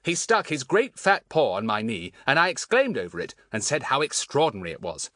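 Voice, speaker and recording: A man's voice: mid-range voice, wildly swooping pitch, brisk, bright, forceful tone, no room sound, studio quality, pitched above the performer's own voice. A man voicing a clever, boastful schoolboy in a children's audiobook, chatting with self-satisfied relish. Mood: gleeful, boastful.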